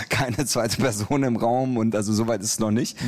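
A man's voice: medium pitch, fairly even intonation, normal speed, a little mellow. A man in his forties, raised in Iran, talking about his book in an interview, thoughtful and hesitant with many fillers. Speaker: comic artist and author.

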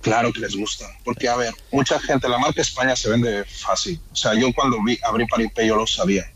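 A man in his thirties with a Spanish accent from Madrid talks through his story in an easygoing, rambling way, full of restarts and filler phrases. His voice sits at an ordinary middle pitch for a man. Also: a little fast, a little hiss or hum, rough recording. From born in Ecuador, raised in Madrid. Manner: relaxed, rambling with restarts and "o sea". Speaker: restaurant owner, guest. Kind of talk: casual interview chat.

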